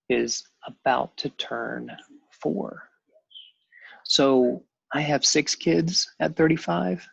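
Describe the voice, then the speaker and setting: A man in his fifties telling his own story as a guest, halting with many pauses and fillers. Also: medium pitch, gravelly, breathy edge, no room sound, highs cut off. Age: 50s. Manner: halting, many ums and restarts. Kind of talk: personal storytelling on a panel show.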